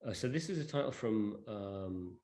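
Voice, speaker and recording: A man's voice: fairly low pitch, lively intonation, normal speed, mellow. A man presenting an informal live product demonstration, explaining things in a hesitant way. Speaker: presenter demonstrating a digital library. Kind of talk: live product demo to an audience.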